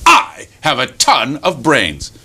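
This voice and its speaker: A man voicing a smug, boastful fox in an animated folktale, drawing out his self-satisfied words. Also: medium pitch, takes it slowly, husky, exaggerated voice. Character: boastful, smug fox who ends up panicking. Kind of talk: animated live folktale storytelling.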